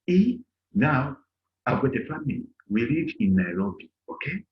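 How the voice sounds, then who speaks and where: A man's voice: medium pitch, very animated, normal speed. A middle-aged man teaching a German lesson to an online class, acting out little dialogues in a raised voice. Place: indoor room on a video call. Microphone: laptop mic on a video call. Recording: lo-fi video call audio.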